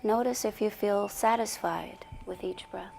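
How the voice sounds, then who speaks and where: A woman leading a guided meditation in a soothing, deliberate way with long pauses, her soft voice often sinking toward a whisper. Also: medium pitch, vocal fry, a little hiss or hum. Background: music.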